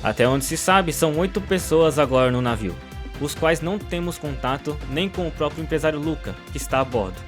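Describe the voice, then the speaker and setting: A man acts a formal news reporter in an animated short. His voice is a little high, and soft music runs behind the scripted report. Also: brisk, natural voice. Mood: serious, formal. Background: faint music.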